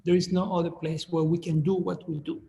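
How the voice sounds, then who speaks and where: A middle-aged man from Chile, speaking as an artist guest on a video call, answering questions in a measured way and often restarting phrases as he thinks. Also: a little high, little pitch movement, vocal fry, warm tone. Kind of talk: thoughtful Q&A answers. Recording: echoey room with long reverb.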